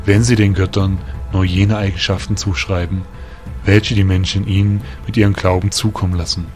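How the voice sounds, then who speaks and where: A man voicing a calm, wise male faun spirit who lectures serenely, heard from a distance. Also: deep voice, moderate pace, voice pushed down, natural voice. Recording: distant voice over music.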